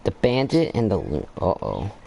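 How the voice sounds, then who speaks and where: A man's voice: low voice, wildly swooping pitch, moderate pace, gravelly, dark tone, a little hiss or hum. A man giving casual commentary while playing through a game's story quest, thinking aloud and often trailing off and restarting his sentences. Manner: laid-back, reacts with short exclamations. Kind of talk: casual let's-play commentary.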